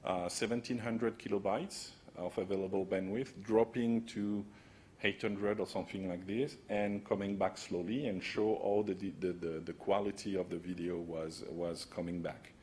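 Low-voiced man giving a conference talk. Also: steady tone, normal speed, darkish tone, slight background noise. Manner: casual explaining with frequent "right?" tags. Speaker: conference speaker. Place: conference room, large indoor hall. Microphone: podium microphone.

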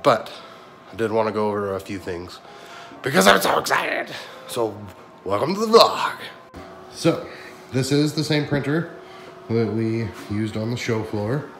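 Excited man touring his print shop. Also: medium pitch, unhurried, gravelly. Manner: excited, chatty and explanatory, thinking aloud. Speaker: print shop owner and vlogger. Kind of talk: casual walkthrough vlog. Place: large echoey print shop room with concrete floor. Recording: echoey room with music over it. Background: background music.

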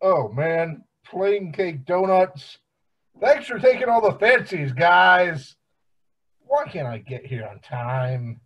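A man voicing a lazy, grumbling middle-aged cartoon dad with a husky, whining delivery. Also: sits high, big pitch swings, slow pace, dull audio, exaggerated voice. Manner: whining, indignant.

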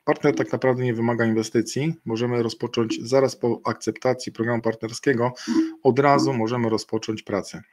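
A middle-aged man presenting slides in a webinar over a video call, halting and filling pauses with hesitations. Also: on the low side, a little mellow. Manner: halting, many fillers and restarts. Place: indoor room. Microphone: video call webcam.

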